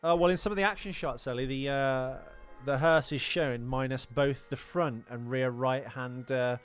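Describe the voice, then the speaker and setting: A man's voice: medium pitch, steady tone, moderate pace, clear, resonant tone. A man hosting a podcast, giving casual film commentary with a dry, joking manner. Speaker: podcast host. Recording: music playing behind the voice. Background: music.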